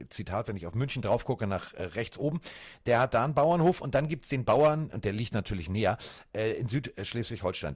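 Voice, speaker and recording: Lively low-voiced man hosting a podcast. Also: no echo, clean studio sound. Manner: animated, rambling with many fillers and restarts. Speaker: podcast co-host. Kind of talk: casual podcast chat.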